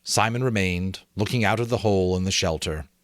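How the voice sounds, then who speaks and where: A middle-aged man giving a dramatic, expressive amateur audiobook reading of a novel. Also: deep voice, a little fast, dry recording, clean studio sound. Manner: expressive, theatrical storytelling. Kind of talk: dramatic audiobook-style narration.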